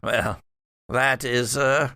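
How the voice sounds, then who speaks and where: Gravelly male game master, slow and casual. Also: mid-range voice, fairly even intonation, pushed and bright, no room sound, clean studio sound. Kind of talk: casual tabletop game narration.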